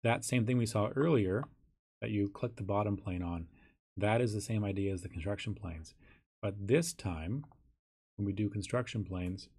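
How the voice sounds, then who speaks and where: A hoarse, raspy man teaching a software tutorial in a casual way, thinking aloud with ums and restarts. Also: lowish voice, moderate pace. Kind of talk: screen-recorded software tutorial.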